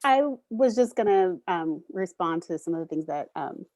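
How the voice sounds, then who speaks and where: Dry, low-voiced woman in a discussion. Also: expressive, normal speed, warm tone, dry recording. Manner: thoughtful, asks questions, filler-heavy. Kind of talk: workshop discussion over video call. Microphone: video call.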